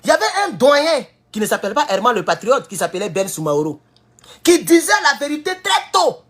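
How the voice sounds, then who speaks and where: A man with an Ivorian French accent giving a heated political commentary, jumping from loud high outbursts to low mutters. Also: sing-song, a little fast. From Ivory Coast. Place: at home in California.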